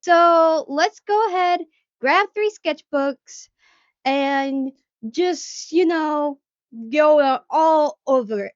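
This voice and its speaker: High-voiced woman chatting, dull lo-fi sound. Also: slow pace, no room sound. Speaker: craft video creator. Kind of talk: casual chat to camera introducing a project.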